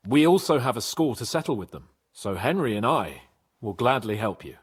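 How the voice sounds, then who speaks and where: A man playing a bold, polite young nobleman in a video game, with a husky voice and an earnest, deferential way of talking. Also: mid-range voice, sing-song, normal speed. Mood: eager, respectful. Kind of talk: video game cutscene acting.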